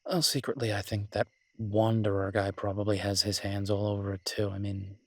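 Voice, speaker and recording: Romantic audio roleplay: werewolf boyfriend voiced by a man, husky and lowish, teasing yet devoted, conversational, very dry sound. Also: level tone, no echo. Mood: amused, gossipy. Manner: tender, teasing, halting conversational delivery. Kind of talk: romantic roleplay audio, intimate one-sided dialogue.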